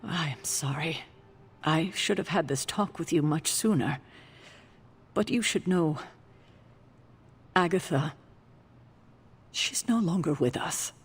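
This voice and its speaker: A woman playing a stern, commanding older guardian in a video game cutscene, grave, deliberate and authoritative. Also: sits low, breathy edge, heavily acted. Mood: regretful.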